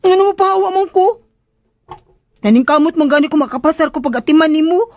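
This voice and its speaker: An actress playing a cheeky, pleading young caretaker in a radio drama, blurting things out in hesitant, chatty back-talk. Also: female voice, high voice, fairly quick, no room sound, dull audio, close to an everyday voice. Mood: apologetic pleading.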